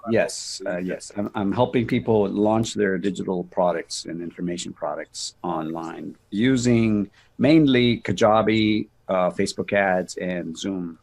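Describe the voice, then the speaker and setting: Male guest, low and creaky, dry self-deprecating wit in relaxed online chat. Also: unhurried, few pauses. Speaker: guest on a live stream. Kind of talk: casual chat on a video call. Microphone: video call.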